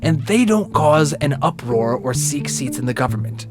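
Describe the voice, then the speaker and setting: A man's voice: mid-range voice, lively intonation, normal speed, close to an everyday voice. Cautious commander played by a man, music behind. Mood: matter-of-fact. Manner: reasoning, hesitant, explanatory. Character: cautious, level-headed male military commander of ninja. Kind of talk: fan-made audio drama acting.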